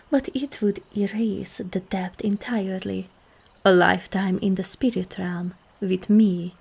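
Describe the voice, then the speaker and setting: A woman playing a seductive, regal demon queen in an ASMR roleplay, calm and commanding, with slow, formal speech that often drops into breathy whispers. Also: on the low side, exaggerated voice. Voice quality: breathy, husky.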